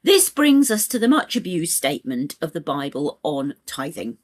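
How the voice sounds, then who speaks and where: A woman reading a spiritual text aloud as scripted audiobook narration, in a measured, solemn and emphatic way. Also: sits low, sing-song. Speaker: audiobook reader.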